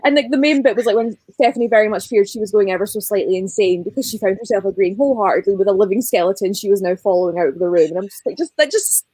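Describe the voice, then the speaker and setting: A woman's voice: mid-range voice, rapid-fire, few pauses, mellow, breathy edge, dry recording. A woman in a casual group book chat, talking informally and ramblingly and often quoting as she goes. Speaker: guest on a book readalong livestream.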